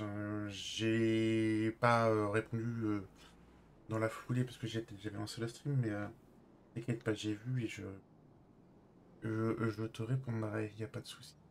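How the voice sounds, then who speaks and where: A man's voice: lowish voice, a little hiss or hum. Casual, hesitant Frenchman chatting on stream. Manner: hesitant, halting, trailing off. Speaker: live streamer playing a role-play game. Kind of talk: casual live-stream chat and improvised role-play.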